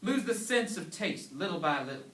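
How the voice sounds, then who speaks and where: A man's voice: high-pitched, big pitch swings, normal speed, bright, forceful tone. A man giving a dramatic staged reading of a sermon, telling it like a story in pointed, emphatic phrases. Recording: echoey hall, recorded from far off.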